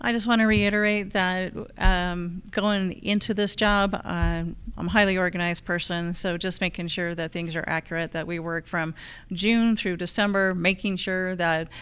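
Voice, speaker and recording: Female candidate, slightly low and very clean voice, halting forum delivery. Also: steady tone, a bit bright, smooth. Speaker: challenger candidate for county assessor. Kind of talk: forum answers. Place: live public forum on a college campus.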